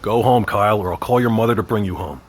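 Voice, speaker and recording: Man playing a middle-aged dad, low husky voice, measured, protective, mildly stern, audio drama. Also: natural voice. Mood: firm. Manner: measured, authoritative, fatherly. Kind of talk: naturalistic audio drama acting.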